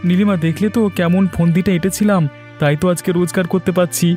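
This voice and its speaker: A man voicing a scheming street-food seller in a cartoon, hurried, boastful and dismissive. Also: high voice, fairly even intonation, music in the background, close to an everyday voice. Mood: smug, dismissive. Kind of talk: cartoon dialogue.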